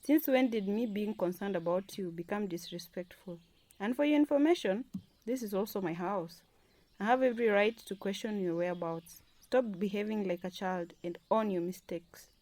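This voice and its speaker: A woman playing an indignant wife and demanding boss in a radio drama, reproachful and sharp-tongued. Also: mid-range voice, moderate pace, no echo, lightly acted.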